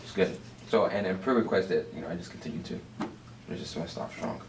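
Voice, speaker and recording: A man's voice: sits low, a little fast. A young man in college casually sharing a testimony, chatty and joking, with many fillers. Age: young adult. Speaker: student group member. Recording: echoey room, distant mic, background noise.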